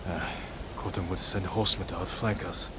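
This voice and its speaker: A low, solemn samurai warrior, played by a male actor in a game cutscene. He speaks tersely and with measured resolve, over clear background ambience. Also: fairly even intonation, background noise, lightly acted. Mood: grave resolve. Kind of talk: video game cutscene acting.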